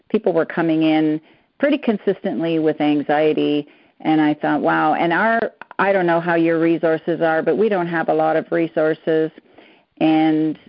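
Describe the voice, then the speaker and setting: A woman's voice: deep voice, no room sound. An older woman whose first language is German, a psychotherapist answering interview questions earnestly, sometimes hunting for words. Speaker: psychotherapist guest. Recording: dull dry lo-fi sound.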